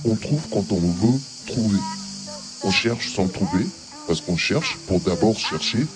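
A man's voice: low-pitched, a little slow, noisy background. An actor playing a stubborn man at a market who argues and plays with words. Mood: insistent. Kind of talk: stage drama.

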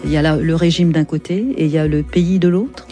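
A woman hosting a conversational broadcast interview, putting her questions deliberately and probingly. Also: sits low, fairly even intonation, dark tone, soft background music. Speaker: interviewer, radio host.

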